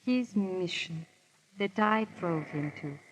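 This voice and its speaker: An actress playing an anxious aristocratic wife, urgent and remorseful, in a 1930s radio drama. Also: female voice, fairly low pitch, animated, slow. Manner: urgent, questioning. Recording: dull, noisy 1930s radio recording.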